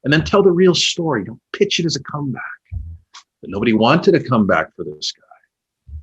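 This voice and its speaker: A man playing a probing, cynical former literary agent in a naturalistic two-man play, pointed and halting, with a husky voice. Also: mid-range voice, big pitch swings, highs cut off. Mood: defensive, earnest. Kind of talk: naturalistic stage dialogue.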